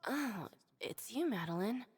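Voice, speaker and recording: A woman's voice: fairly high pitch, lively intonation, unhurried, a crowd in the background. An actress playing a jaded female prison receptionist in a video game, world-weary, grumbling and dryly sarcastic. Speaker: game character. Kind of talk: video game dialogue acting.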